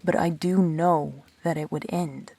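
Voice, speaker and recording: Woman acting wry immortal friend, audio roleplay, low pitch, breathy rasp, dry, blunt, protective. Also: fairly even intonation, unhurried, warm tone, heavily acted. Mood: uneasy, reluctant. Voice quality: low, husky.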